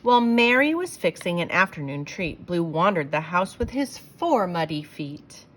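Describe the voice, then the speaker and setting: A woman reading rhyming verse aloud for children in an expressive, theatrical storytelling way, leaning on the rhymes. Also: fairly low pitch, moderate pace.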